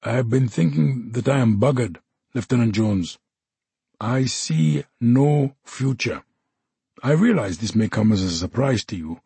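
A man's voice: mid-range voice, expressive, unhurried, gravelly, warm tone, husky, dry recording, full character voice. A man voicing an embittered, drunk one-handed soldier who talks in a formal, officious way and growls. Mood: despairing. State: drunk and unsteady.

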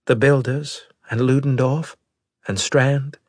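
A higher-pitched, husky voice for a worried but resolute female brigadier , acted out by a male audiobook narrator. The speech is formal and emphatic, full of earnest loyalty, and sounds dry and close. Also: fairly even intonation, takes it slowly, no echo. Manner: formal, emphatic military address. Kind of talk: audiobook character dialogue.

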